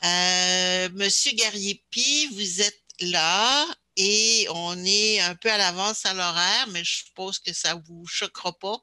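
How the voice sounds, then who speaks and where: A woman's voice: mid-range voice, takes it slowly, few pauses, sharp tone, no room sound. A woman with a Québécois French accent chairing a virtual public hearing, courteous and hesitant. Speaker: commission chair. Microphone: video call.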